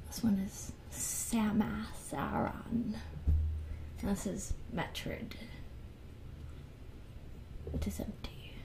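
A young woman whispering softly and unhurriedly into a close microphone in a fantasy ASMR roleplay. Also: medium pitch, fairly even intonation, very slow, gravelly, long pauses. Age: young adult. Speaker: ASMR video host. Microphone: close studio microphone on a stand.

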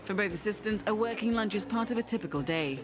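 Woman voice-over narrator, mid-pitched, brisk delivery with music behind. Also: expressive. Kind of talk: scripted documentary narration. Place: voice-over studio. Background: background music.

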